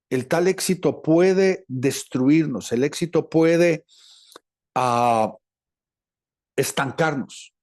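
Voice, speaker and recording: A man with a Latin American Spanish accent preaching a teaching sermon, deliberate and repeating phrases for emphasis. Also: on the high side, darkish tone. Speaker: preacher, apostle.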